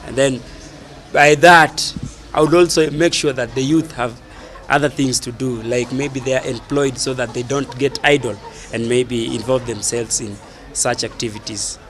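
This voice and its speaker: A young man with a Kenyan accent answering an interview casually, in short halting phrases. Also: mid-range voice, steady tone, a bit bright. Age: young adult. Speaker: youth workshop participant being interviewed. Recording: faint outdoor urban noise.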